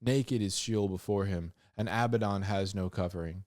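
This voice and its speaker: A man hosting a Bible reading, mixing scripture with informal, slangy commentary and animated asides. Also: on the low side, moderate pace, no room sound, clean studio sound. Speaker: host of a Bible reading show.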